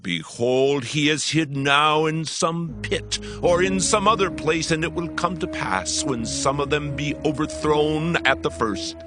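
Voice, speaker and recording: An actor playing a shrewd, persuasive counsellor in an ancient royal court, in a dramatized scripture recording with deliberate, emphatic oratory. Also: male voice, a little high, pushed and bright, soft background music. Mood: grave, persuasive.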